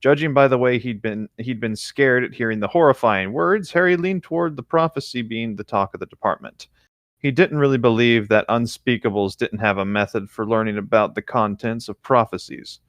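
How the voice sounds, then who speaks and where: A man's voice: medium pitch, normal speed, a bit bright, no echo, clean studio sound. A man co-hosting a casual read-aloud of fan fiction, with chatty side remarks.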